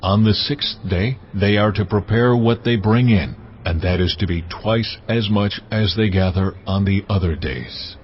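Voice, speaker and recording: A man voicing God, deep and solemn. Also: slight background noise, natural voice. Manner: slow, solemn and commanding. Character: the Lord, solemn commanding divine voice. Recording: dull audio with faint music behind.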